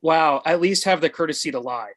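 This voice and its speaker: A woman's voice: low voice, fairly quick, no room sound. A woman playing a blunt, snide sixty-year-old father who challenges people, in a screenplay table read.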